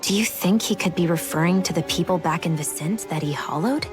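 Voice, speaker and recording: A woman's voice: fairly low pitch, a little fast, music in the background, lightly acted. A woman playing a cool, candid young heroine in a video game, with composed, dry delivery. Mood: pensive. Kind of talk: dramatic video game acting.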